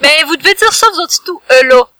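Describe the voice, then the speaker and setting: A woman's voice: high voice, lively intonation, fast, crowd noise. A woman with a Quebec French accent, co-hosting a casual podcast and telling easygoing stories with hesitant fillers. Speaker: podcast co-host. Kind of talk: casual chat.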